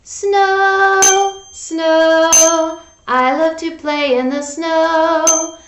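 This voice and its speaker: A woman voicing a cheerful, forgetful young male frog from a storybook, in a drawn-out, exaggerated singsong. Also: extremely high pitch, fairly even intonation, roomy sound, over music, pitched above the performer's own voice, full character voice, poor audio. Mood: cheerful singing.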